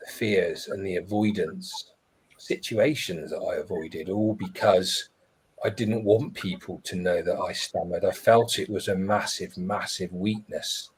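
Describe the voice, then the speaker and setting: Guy sharing his story on a webinar, kinda husky, lowish voice, thoughtful. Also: rough recording. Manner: reflective, earnest, with pauses and restarts. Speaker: webinar panelist. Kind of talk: personal storytelling on a live webinar.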